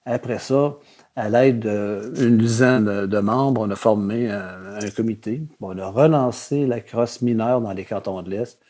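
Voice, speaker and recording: An older man with a Québécois accent answers a host's questions. His voice sits low and mellow. He talks easily, leaning on fillers and letting his sentences trail away. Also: measured pace. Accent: Québécois French. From Quebec City, Canada. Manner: relaxed, many fillers, trailing off at phrase ends. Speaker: guest historian. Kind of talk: conversational interview answers.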